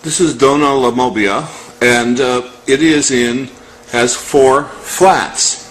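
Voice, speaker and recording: A man teaches music in a measured, instructional way. His voice sits at a middling pitch and he speaks extremely slowly, spelling out each step. There is faint noise behind him. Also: fairly bright tone, low-quality recording. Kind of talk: step-by-step music lesson, calling out scale numbers.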